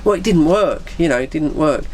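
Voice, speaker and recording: Animated, higher-voiced man. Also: brisk, a little hiss or hum. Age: middle-aged. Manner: animated, acts out dialogue with put-on voices. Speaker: video storyteller. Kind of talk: casual anecdotal storytelling.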